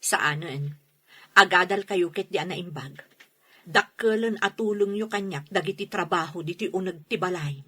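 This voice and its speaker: A woman playing an aggrieved, hot-tempered mother in a radio drama, with a husky voice and emphatic delivery. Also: lowish voice, normal speed, a bit bright. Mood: tender. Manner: scolding, emphatic. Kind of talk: radio drama acting in Ilocano.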